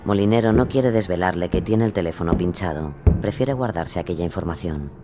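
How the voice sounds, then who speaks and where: A man's voice: deep voice, fairly quick, music in the background. A man reading scripted narration for a dramatized true-crime story.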